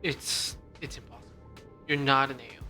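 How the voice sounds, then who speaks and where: A woman's voice: very deep voice, fairly even intonation, normal speed, over music. A woman streaming a game, giving casual, offhand, rambling reactions.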